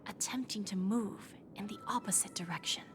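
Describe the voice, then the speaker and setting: A woman's voice: medium pitch, noisy background, lightly acted. Woman acting a poised, calculating pawnbroker lady. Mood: measured, intrigued. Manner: measured, deliberate, formal, insinuating. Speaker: voice actor in a video game. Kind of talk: scripted game dialogue.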